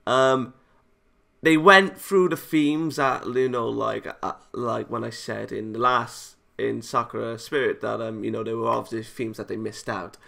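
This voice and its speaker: A man hosting a gaming video, reading a visual novel aloud and giving casual, rambling commentary in a halting way. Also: medium pitch, steady tone, vocal fry, sharp tone.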